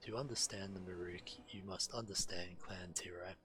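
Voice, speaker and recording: A man's voice: sits low, fairly even intonation, normal speed, a bit bright, soft background music. A man reading quest text aloud and commenting as he streams a fantasy game.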